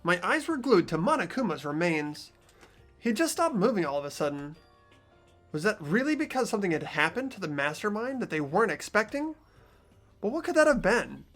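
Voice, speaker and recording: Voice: mid-range voice, sing-song, brisk, fairly bright tone, faint music behind. A performer voicing an earnest, hesitant teenage boy who narrates a video game story reflectively. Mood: brooding. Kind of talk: scripted game narration and dialogue, amateur voice acting.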